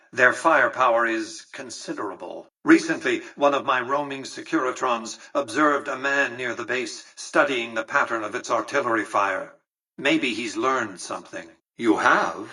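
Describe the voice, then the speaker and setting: A man's voice: mid-range voice, pushed and bright, slightly rough, far from the mic, low-quality recording. A man voicing a video game character, an imperious, calculating older tycoon who speaks in a condescending, precise and formal way. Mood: scornful, instructive, then measured, calculating. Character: imperious, calculating older male tycoon commanding a robot army.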